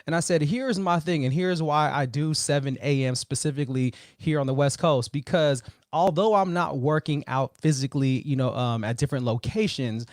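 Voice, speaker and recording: A man's voice: fairly high pitch, moderate pace, few pauses, darkish tone, dry recording, clean studio sound. A man hosting a motivational livestream, chatting informally and in a brotherly way as he addresses his viewers. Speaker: livestream host. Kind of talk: casual motivational livestream chat.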